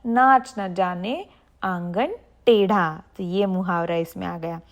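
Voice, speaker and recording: A young woman teacher explaining idioms to children, slowly repeating sentences and asking her pupils questions. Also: lowish voice.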